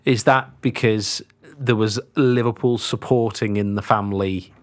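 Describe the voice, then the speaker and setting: Male podcast host, lowish voice, measured delivery, dry close sound. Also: fairly even intonation, no echo. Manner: measured, scripted intro then brief prompts. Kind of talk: podcast interview.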